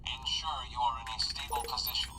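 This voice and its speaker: A man voicing a calm lab computer that announces countdowns in flat, measured phrases. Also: mid-range voice, lightly acted. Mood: announcing. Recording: game audio with music behind.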